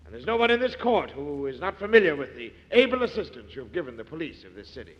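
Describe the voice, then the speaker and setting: An actor playing a stern, solemn judge in a 1940s radio drama, formal and declamatory. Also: male voice, on the high side, sing-song, quick pace. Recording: hollow reverberant old radio recording, dull.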